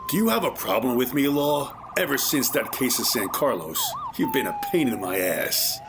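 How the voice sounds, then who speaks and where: A man's voice: medium pitch, wildly swooping pitch, normal speed, noisy background. A man playing a stern, irritable police captain with an authoritative, confrontational manner in an audio crime drama. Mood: irritated.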